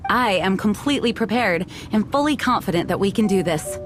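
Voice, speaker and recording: A woman's voice: mid-range voice, lively intonation, fairly quick, loud music behind the voice, lightly acted. An actress playing a composed, dutiful woman knight commander in a video game, poised, earnest and resolute.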